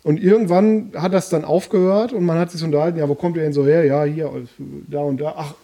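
A man's voice: a little high, steady tone, brisk, dark tone. A man co-hosting a podcast, telling stories in an easygoing way with hesitant restarts. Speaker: podcast co-host. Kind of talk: casual chat.

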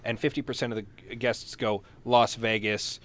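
A man's voice: medium pitch, steady tone, normal speed, fairly bright tone. A man co-hosting a podcast and talking in a casual, conversational way. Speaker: podcast co-host. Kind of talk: casual chat.

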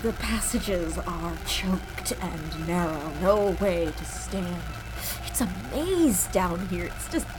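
An actress playing a frightened, injured woman explorer trapped underground in a sci-fi horror audio drama, speaking in halting, stammering fragments. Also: lowish voice, animated, background noise. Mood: panicking. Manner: halting, stammering, breathy panicked rambling. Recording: loud dramatic music bed behind the voice.

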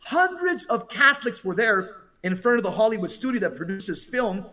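High-pitched emphatic man, off-mic. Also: big pitch swings, brisk, pushed and bright, recorded from a distance. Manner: emphatic, preaching, rhetorical questions. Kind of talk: impassioned talk commentary.

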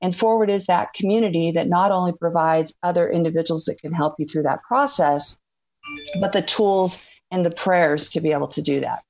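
A woman's voice: lowish voice, normal speed, creaky, darkish tone. A middle-aged woman guesting on a faith-based radio interview, talking earnestly and reflectively with frequent fillers. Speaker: guest, former executive.

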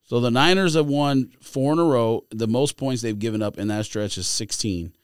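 A man's voice: medium pitch, normal speed, clear, resonant tone, no echo, clean studio sound. A man hosting a casual sports podcast, debating game picks in a blunt, sardonic way.

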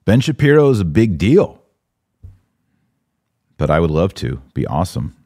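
A man's voice: low voice, big pitch swings, moderate pace, warm tone, no echo, clean studio sound. An American man hosting a live podcast, chatting casually and informally as he responds to listener comments. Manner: informal slangy talk. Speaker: podcast host.

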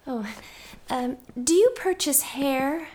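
An actress playing a devoted young newlywed wife, earnest and hesitant, in a radio drama. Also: female voice, sits high, slow pace, a little mellow, slight background noise. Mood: timid, flustered. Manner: hesitant, earnest, breathlessly eager. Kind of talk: stage-style radio drama acting.

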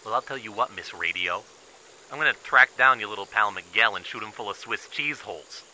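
Man acting a shady, sharp-voiced dim-witted crook. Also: lowish voice, brisk, slight background noise, rough recording. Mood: threatening. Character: shady dim-witted menacing male riverside drug dealer. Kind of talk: audio drama acting.

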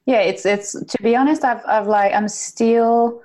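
A woman's voice: a little high, fairly even intonation, unhurried, a little mellow. A woman around her thirties, a non-native English speaker, chatting casually and informally as a podcast co-host. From moved to Australia from a non-English-speaking country. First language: not English. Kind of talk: casual chat.

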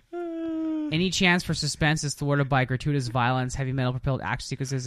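A man's voice: mid-range voice, a bit bright, no room sound. A man hosting a podcast, chatting casually about movies in a bantering, profane way. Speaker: podcast host.

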